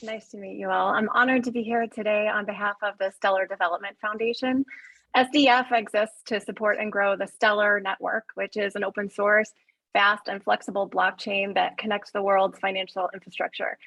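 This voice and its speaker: Even-toned woman, formal and dry-sounding. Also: medium pitch, brisk, no room sound, rough recording. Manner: polite, formal. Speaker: panelist, foundation representative. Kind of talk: prepared formal introduction.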